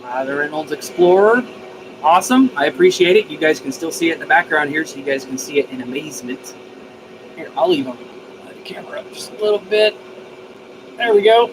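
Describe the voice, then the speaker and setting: Male streamer, somewhat higher voice, folksy and effusive casual chat, noisy recording. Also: animated, brisk. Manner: folksy, informal, mildly profane; enthusiastic, effusive. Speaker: live stream host of a DIY radio channel.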